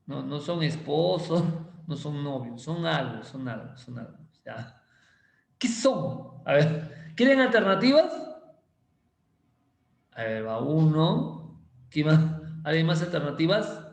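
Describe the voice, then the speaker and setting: Playful high-voiced teacher, slow and lively. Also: male voice, gravelly. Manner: playful, teasing, repeats questions slowly. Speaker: chemistry teacher. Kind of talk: casual online class with quiz questions. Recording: roomy echo.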